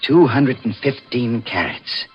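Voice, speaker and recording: A man's voice: medium pitch, measured pace, husky, soft background music. An actor playing a proud, courteous man in his seventies, a diamond cutter with an ominous streak, savouring his phrases theatrically in 1940s radio thriller style. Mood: musing, proud. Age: 70s. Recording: muffled, noisy vintage radio recording.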